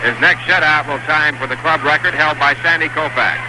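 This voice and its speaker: Man calling baseball on old radio. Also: on the high side. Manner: steady, measured play-by-play, rising for action. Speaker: play-by-play announcer. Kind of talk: live baseball radio commentary. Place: ballpark broadcast booth. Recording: 1960s AM radio broadcast with loud hiss.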